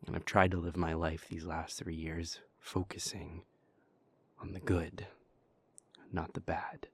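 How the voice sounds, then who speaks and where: A man voicing a weary, bitter young vampire in an audio roleplay, talking in a low, hushed voice up close to the mic. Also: level tone, unhurried, slightly rough, heavily acted. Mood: resentful. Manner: tired, resentful muttering that softens to tender.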